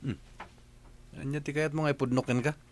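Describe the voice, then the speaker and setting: A man's voice: mid-range voice, fairly even intonation, a little fast, natural voice. A man with a Filipino accent playing a fed-up, evasive boyfriend in a radio drama, irritated and defensive. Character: Rolly, fed-up, evasive, spendthrift young boyfriend. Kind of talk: Philippine radio drama acting.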